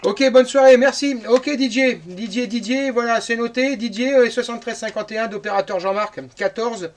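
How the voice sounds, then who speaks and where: A man's voice: very high voice, steady tone, normal speed. A man in his fifties from northern France, chatting casually and at length as a radio operator, often repeating his words. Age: 50s. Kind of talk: casual radio contact chat.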